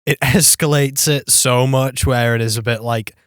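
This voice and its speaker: Male podcast panelist, mid-pitched, informal and animated storyteller, dry recording. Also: moderate pace, clean tone, dry recording. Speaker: podcast panelist discussing films. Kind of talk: casual chat.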